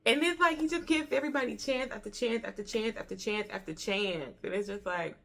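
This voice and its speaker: A woman's voice: on the high side, brisk, vocal fry, fairly bright tone. An American woman in her early thirties giving a casual book review in an informal, chatty way, repeating herself for emphasis. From Detroit, Michigan, USA.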